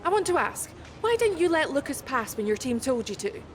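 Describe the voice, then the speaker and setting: An actress playing a brisk, businesslike female motorsport reporter doing an interview in a video game cutscene. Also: high voice, very animated. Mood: probing, polite. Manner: brisk, directing, professional.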